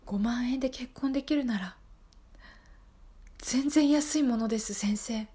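A woman acts out a desperate single woman in her thirties in an improvised radio play. Her voice is high, and she pleads and repeats herself in an overwrought, gullible way. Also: unhurried, mellow, close to an everyday voice. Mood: eager, desperate. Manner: pleading, breathless repetition, overwrought. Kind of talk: improvised radio drama acting.

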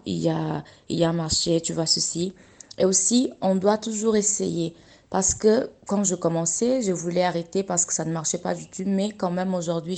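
A young woman from Angola, a native Portuguese speaker, talking earnestly about her work in a radio interview and often pausing to find her words. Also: lowish voice, mellow. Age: young adult. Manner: earnest, searching for words with restarts. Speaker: guest, dressmaker running her own workshop.

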